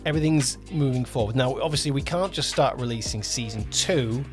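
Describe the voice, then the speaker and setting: A man's voice: medium pitch, brisk. Man co-hosting, music behind him. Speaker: podcast co-host, audio drama producer. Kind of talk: casual podcast chat.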